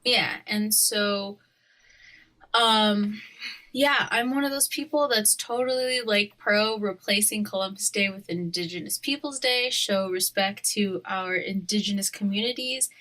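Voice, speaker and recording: A woman from Hawaii with a bright, forward voice, talking casually on a podcast. She is opinionated and slangy, speaking in a loose conversational way at a medium pitch. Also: a little slow. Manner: opinionated, slangy, often laughing. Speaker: podcast co-host.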